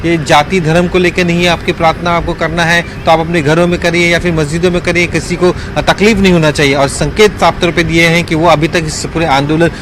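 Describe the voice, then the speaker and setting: Over clear street noise, a man with a fairly high voice files a live news report from the field. He is wordy and halting, circling back to the same stock phrases. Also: flat delivery. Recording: clear outdoor traffic noise.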